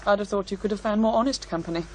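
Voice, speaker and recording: Woman acted, bitter and scornful, medium pitch, very articulate, radio play, slight hum. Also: racing, natural voice. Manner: disdainful, articulate, emphatic. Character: cool, bitter, scornful woman, sharp-tongued witness. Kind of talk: naturalistic radio drama dialogue.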